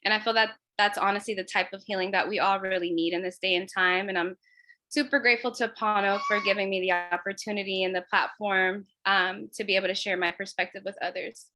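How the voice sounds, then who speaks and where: Woman, resident artist, earnest presentation, bright tone, mid pitch. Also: steady tone, a little fast. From Los Angeles, US. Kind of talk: prepared presentation.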